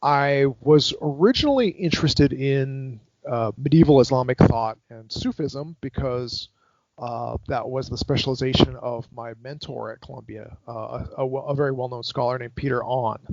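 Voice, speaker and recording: A man's voice: medium pitch, moderate pace, no echo, lo-fi sound. A man in his fifties from Hawaii, a scholar giving a long account of his background in an interview over a call, hesitant and full of fillers and restarts. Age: 50s. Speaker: guest scholar. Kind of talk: academic interview.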